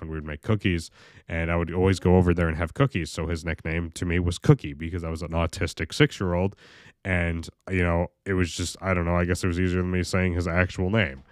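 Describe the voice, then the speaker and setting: A young American man with a very deep voice, rambling through a casual vlog monologue full of fillers, restarts and swearing. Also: no echo, clean studio sound. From United States. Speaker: video creator.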